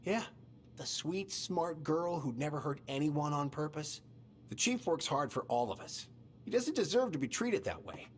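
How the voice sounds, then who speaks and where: A man's voice: fairly high pitch, lively intonation, moderate pace, a little hiss or hum, lightly acted. An actor playing a concerned, fatherly middle-aged police officer in a naturalistic video game cutscene. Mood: reproachful, concerned.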